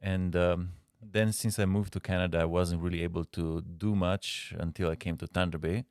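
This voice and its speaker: A man from Slovenia with a Slovenian accent, a guest designer answering interview questions in a halting way with frequent pauses. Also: low voice, steady tone, a little mellow, clean tone, no room sound, clean studio sound.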